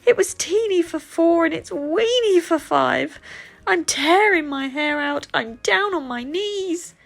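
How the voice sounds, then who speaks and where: A woman voicing a fretful, grumbling little old lady from a children's storybook, pleading and wailing in a drawn-out, dramatic way. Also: extremely high pitch, expressive, creaky, fairly bright tone, voice pushed up, heavily acted. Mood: exasperated wail.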